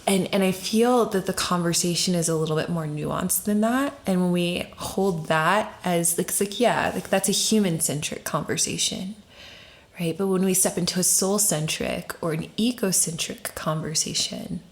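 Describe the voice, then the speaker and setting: A woman's voice: lowish voice, moderate pace. A woman speaking as a podcast guest, explaining ideas in an informal, reflective way, searching for her phrasing and posing rhetorical questions. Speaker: podcast guest, story doula and facilitator.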